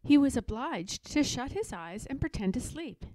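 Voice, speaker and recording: A woman narrates a children's storybook. Her voice is high and animated, with deliberate, expressive phrasing. The sound is dry, with little room on it. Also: no echo. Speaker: storybook reader. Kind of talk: children's read-aloud narration.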